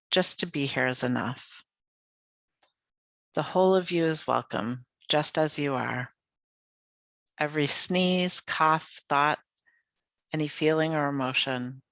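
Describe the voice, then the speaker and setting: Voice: mid-range voice, dry recording. Meditation guide with a close, dry sound. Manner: soothing, unhurried, gently inviting with pauses. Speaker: yoga nidra meditation guide.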